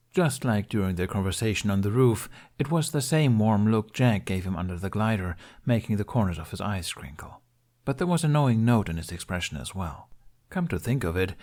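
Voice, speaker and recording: Casting: male audiobook-style narrator, lowish pitch, scripted fantasy storytelling. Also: a little mellow. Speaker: podfic narrator.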